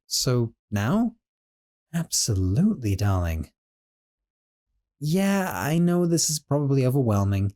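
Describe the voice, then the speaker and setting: A doting young sorcerer roommate, as a man plays him, soft-spoken and a bit flustered, his mellow voice rising and falling in lively swings. He talks gently and fondly in an audio roleplay. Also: medium pitch, natural voice.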